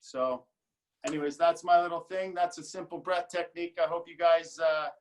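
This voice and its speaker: A yoga and breathwork teacher, a man, calmly explaining a counted breathing technique in a video call webinar. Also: a little high. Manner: relaxed, slow counted instructions.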